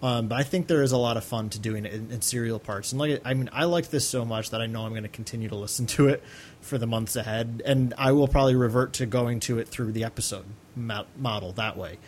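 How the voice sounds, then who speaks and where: A man's voice: mid-range voice, fast talker, darkish tone, slight background noise. A man co-hosting a podcast in casual, rambling, hesitant chat. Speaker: podcast co-host.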